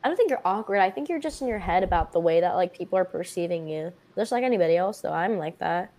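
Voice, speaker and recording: A young woman chatting as a podcast guest in an expressive, talkative way, full of fillers and quick to laugh. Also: lowish voice. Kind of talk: casual chat.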